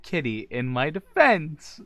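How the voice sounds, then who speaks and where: A man's voice: fairly high pitch, big pitch swings, slow pace, crowd noise. An American man from the Midwest chatting casually as a podcast host. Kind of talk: casual chat.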